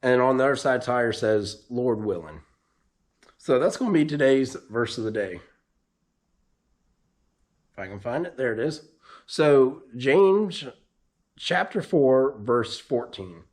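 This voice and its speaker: Guy w a hoarse worn-out voice, lots of ums, chatting to his viewers. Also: medium pitch, animated, takes it slowly, pauses often, darkish tone. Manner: rambling, with frequent ums and restarts. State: losing his voice, hoarse from allergies. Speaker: drag racer and vlogger. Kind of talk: casual vlog update.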